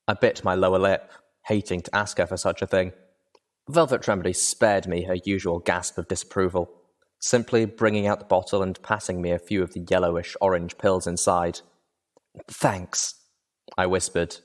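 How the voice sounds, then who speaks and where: A man reading a dramatic first-person story as an audiobook narrator, measured and expressive. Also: sits low. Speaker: audiobook reader.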